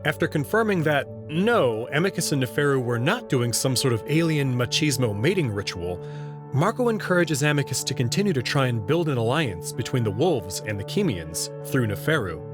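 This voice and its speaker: A man's voice: medium pitch, very animated, moderate pace, music in the background. A man narrating a scripted story expressively as the host of a visual novel reading channel.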